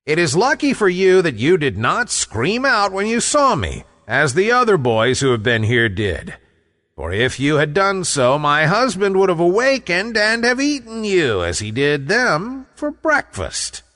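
An overworked, domineering storybook giantess, acted out by a man. The delivery is loud and theatrical, slow, with pitch that swings widely. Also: huge pitch swings, big-room sound, heavily acted. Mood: approving, urging. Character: overworked, bossy giantess, loud scolding wife. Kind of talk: storybook character voice.

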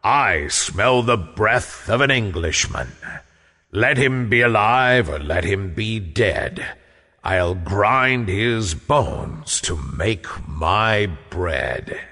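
A man voicing a huge, bullying male giant in a storybook, booming and commanding as he speaks. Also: expressive, drawn-out words, sharp tone, long reverb tail, heavily acted. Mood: menacing. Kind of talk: storybook character voice.

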